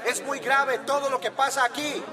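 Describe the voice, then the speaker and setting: Radio soap role, male actor: indignant townsperson, strikingly high voice, demanding, street crowd all around. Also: fairly even intonation, moderate pace. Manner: insistent, demanding. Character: angry townsperson protesting and demanding answers. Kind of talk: radio soap opera acting.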